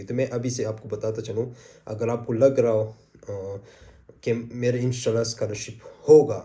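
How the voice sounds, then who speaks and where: Man with a sore throat, low dark voice. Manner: easygoing, repeats points, frequent "uh" fillers. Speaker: channel host. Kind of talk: casual advice talk to camera.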